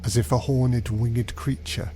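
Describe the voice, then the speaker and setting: A man narrating a dark gothic audio story as its haunted first-person teller. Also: mid-range voice, steady tone, a little slow, slight background noise, natural voice. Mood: ominous, uneasy. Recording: music playing under the voice.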